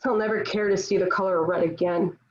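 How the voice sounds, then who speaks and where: A woman's voice: lowish voice, fairly even intonation, brisk, natural voice. A woman playing a defiant, devout young warrior held captive, with solemn, declamatory phrasing in a table read of a historical drama, on a dull lo-fi recording. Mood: scornful, defiant. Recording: dull, lo-fi home online recording.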